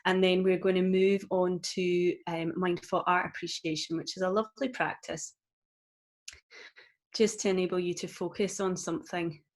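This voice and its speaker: A woman with a Scottish accent, a mindfulness coach leading a live-streamed guided session in a gentle, encouraging way with frequent ums. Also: fairly low pitch, little pitch movement, normal speed. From Scotland.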